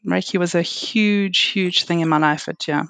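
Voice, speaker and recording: A middle-aged woman from South Africa with a South African accent, a guest chatting reflectively with frequent fillers and soft laughs. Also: on the low side, fairly even intonation, normal speed, creaky, no echo. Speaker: guest, reflexology and Reiki practitioner. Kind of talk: casual interview chat.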